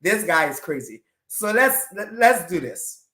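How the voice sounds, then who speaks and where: A man's voice: high-pitched, sing-song, a little slow, bright, forceful tone. A male talk show host with a nasal, congested voice, opening the show in an upbeat, repetitive way. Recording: roomy echo.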